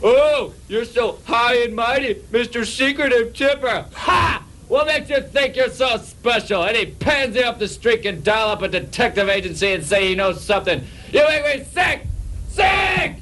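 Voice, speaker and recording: A man playing a pompous, dim-witted, short-tempered private detective in a comedic radio drama, melodramatic, shifting between deadpan narration and ranting. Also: very high-pitched, normal speed, raised voice, full character voice, poor audio. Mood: furious ranting.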